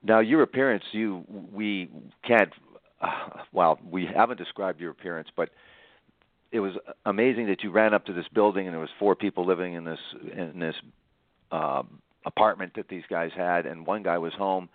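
Low-voiced male interviewer, phone-like sound. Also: moderate pace, no echo. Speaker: interviewer, host. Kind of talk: conversational interview, recapping and prompting the guest. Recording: tinny narrow phone-like sound.

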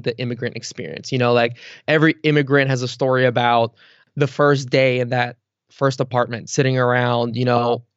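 A man's voice: mid-range voice, dry recording, dull audio. A man in his thirties, born in Bulgaria, talking loosely as a podcast guest and leaning on fillers like "like" and "you know.". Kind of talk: casual interview chat.

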